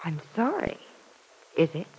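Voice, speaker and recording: A woman's voice: fairly low pitch, big pitch swings, unhurried, darkish tone, breathy edge, full character voice, poor audio. An actress playing a serious, determined young woman in a vintage radio serial, speaking in clipped, tense replies. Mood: tense, resolute. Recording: vintage radio drama recording.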